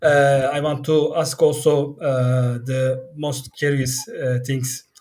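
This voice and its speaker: A man's voice: medium pitch, little pitch movement, slow pace, darkish tone. A man whose first language is Turkish, hosting a live video-call interview and asking questions hesitantly with many fillers. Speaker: interviewer, host.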